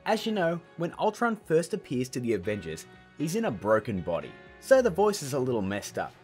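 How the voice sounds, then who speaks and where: A man's voice: mid-range voice, huge pitch swings, fairly quick, darkish tone. A man hosting a tutorial with scripted narration and chatty, informal asides. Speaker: tutorial host. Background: background music.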